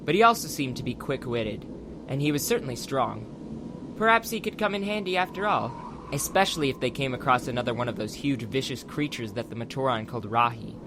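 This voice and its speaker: A man's voice: medium pitch, animated, fairly quick, background noise. A man reading scripted audiobook narration as the narrator of a dramatized story. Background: background music.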